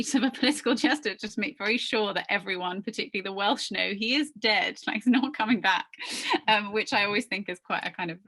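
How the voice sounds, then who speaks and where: A woman's voice: medium pitch, a bit bright. A woman speaking on an academic panel, thinking aloud tentatively with fillers.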